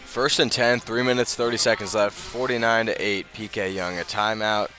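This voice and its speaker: A man's voice: on the low side, steady tone, moderate pace, pushed and bright, soft background music. An American man doing live radio play-by-play of a football game in short, clipped phrases. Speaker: play-by-play commentator.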